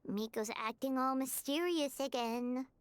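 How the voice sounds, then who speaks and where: A woman voicing a small, excitable, curious childlike fairy companion in a video game, with a squeaky voice. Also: measured pace, smooth. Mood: confused. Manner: speaks of self in third person.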